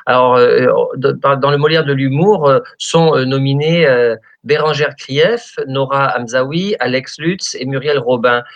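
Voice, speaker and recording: A man's voice: mid-range voice, a little slow, hardly any pauses, muffled recording. A man hosting a radio show, chatting with a guest and stumbling through many fillers and restarts. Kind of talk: radio chat.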